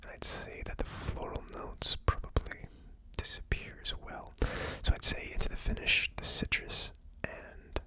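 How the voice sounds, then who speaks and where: An ASMR host whispering a tasting review in a hushed, unhurried, musing way. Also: poor audio. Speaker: host of a whiskey tasting channel.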